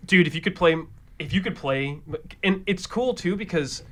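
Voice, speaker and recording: A man's voice: high voice, expressive, normal speed, sharp tone. Rambling man chatting casually on a podcast. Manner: rambling, swears, trails off and restarts. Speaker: podcast co-host. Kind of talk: casual chat.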